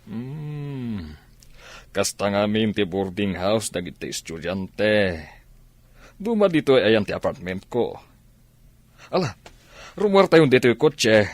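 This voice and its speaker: An older man of means, speaks in a fairly low voice with lively swings. He sounds obliging and genial, with a Filipino accent, in radio serial style. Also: slow pace, long pauses, dry recording. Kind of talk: Ilocano radio drama acting.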